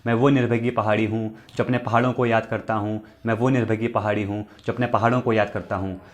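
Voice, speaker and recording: A man's voice: on the low side, level tone, fast talker. Earnest man reciting nostalgic poetry. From Uttarakhand hills. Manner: recites each line twice, earnest and nostalgic. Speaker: poet and channel host. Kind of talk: poetry recitation with spoken asides.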